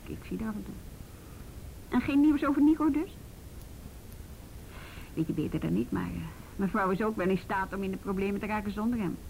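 A woman's voice: vocal fry, a little mellow, lightly acted. A woman acting in a naturalistic radio drama as a wry, world-weary police officer with a dry, sardonic manner. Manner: dry, sardonic, mildly swearing. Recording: noisy rumbly radio drama recording.

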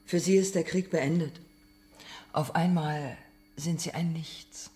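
A man's voice: on the high side, fairly even intonation, slow pace, dark tone. Insistent male interrogator. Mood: probing, controlled. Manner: insistent, pointed short phrases. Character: relentless male interrogator, accusing and probing. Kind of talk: German radio drama acting.

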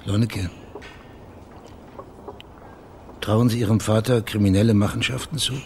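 An actor playing a probing, quietly insistent private investigator in a German radio crime drama, speaking in a measured, matter-of-fact way. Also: male voice, lowish voice, fairly even intonation, slight background noise, lightly acted. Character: probing, plain-spoken male private investigator named Max, quietly insistent.

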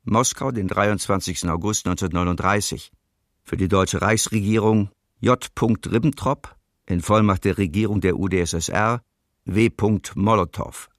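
An actor as a low, creaky-voiced formal official. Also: male voice, normal speed, no echo, clean studio sound, close to an everyday voice. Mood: formal, detached. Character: formal male official reading a secret treaty protocol. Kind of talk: scripted document reading in a documentary radio play.

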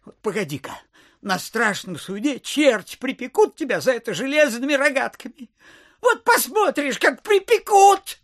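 An actor playing a miserly, suspicious old landowner in an audio drama, scolding, grumbling and muttering. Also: male voice, very high voice, very animated, normal speed, fairly bright tone, dry recording, studio quality, full character voice. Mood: spiteful threatening. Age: older.

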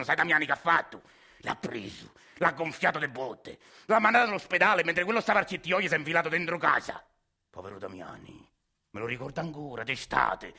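A man's voice: on the high side, pushed and bright, full character voice. An actor playing a shady, crude male landlord with a Roman accent in a radio play, talking in a coarse, sly and menacing way. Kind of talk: radio theatre acting.

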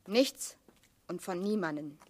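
An actress playing a hurt, proud young woman in a German radio play, with a husky, breathy voice. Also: lowish voice, expressive, takes it slowly, darkish tone. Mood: bitter, desperate. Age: young adult.

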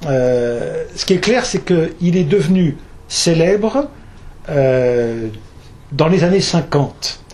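A man's voice: a little high, animated, takes it slowly, darkish tone, a little hiss or hum. A man, from Alsace, speaking as an author at a public talk, hesitant, with many fillers and restarts. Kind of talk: lecture with audience questions.

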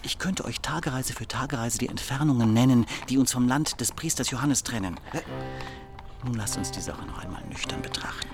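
An actor playing a wily captive Greek monk in a German radio drama, arguing slippery and swearing pompous oaths. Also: male voice, mid-range voice, fairly quick, over music, natural voice. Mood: pleading, scheming.

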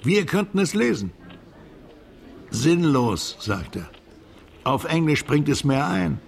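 An actor playing a worldly, sentimental, garrulous émigré drinking companion in a radio drama, with a gravelly, conversational delivery. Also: male voice, a little high, big pitch swings, slow pace, exaggerated voice. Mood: garrulous, tipsy ease. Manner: conversational, expansive, rhetorical asides.

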